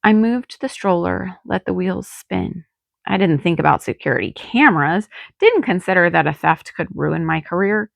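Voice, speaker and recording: A woman reads fiction aloud in an expressive audiobook style. Her voice sits a little low with a gravelly fry at the edges, and the recording is dry and close. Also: moderate pace, no echo, highs cut off. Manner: dramatic, acts out dialogue. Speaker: author reading own novel. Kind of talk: expressive audiobook narration in first person.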